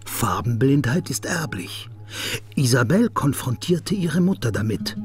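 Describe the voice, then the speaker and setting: A police detective in a crime radio drama, intense and brooding. He questions tersely, with a mid-pitched voice that swings widely in intonation. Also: very animated, measured pace, a little mellow, faint music behind. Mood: explaining, brooding. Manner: terse, interrogating.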